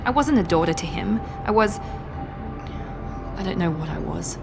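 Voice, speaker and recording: A woman's voice: fairly low pitch, lively intonation, measured pace, creaky. A woman playing a poised, guarded operative in a video game, serious and controlled, with clipped delivery. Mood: confiding, pained. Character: poised, guarded woman operative protecting her younger sister. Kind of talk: video game dramatic acting. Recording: game audio with music behind.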